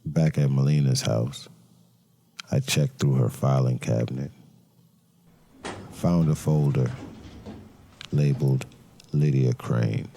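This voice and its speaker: A man playing a brooding detective, narrating a crime drama in a somber, deliberate noir style with dramatic pauses. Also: rumbling low, fairly even intonation, vocal fry, mellow, a little hiss or hum, exaggerated voice. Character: brooding male detective narrating a murder case. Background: music.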